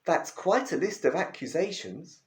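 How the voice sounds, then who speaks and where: A man's voice: on the high side, big pitch swings, moderate pace. A man reading as a questioning Roman governor. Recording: roomy echo.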